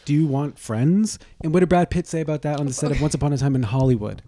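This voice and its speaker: Gravelly, sarcastic podcast co-host guy. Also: fairly high pitch, fast, mellow, no room sound. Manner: sarcastic, profane, rambling. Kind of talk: snarky casual banter.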